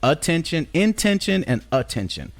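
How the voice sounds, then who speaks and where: A man's voice: fairly high pitch, very animated, moderate pace. A man hosting a podcast, talking conversationally about spiritual and cultural ideas, emphatic and repeating key phrases for effect. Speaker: podcast host.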